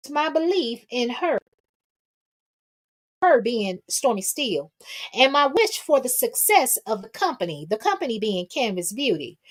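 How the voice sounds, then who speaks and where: A woman with a fairly high voice hosts a casual gossip commentary. She is sassy and emphatic, chats to viewers in a folksy, colloquial way, and repeats her points for effect. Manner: folksy, colloquial, addresses listeners with pet names. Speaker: host of a commentary livestream.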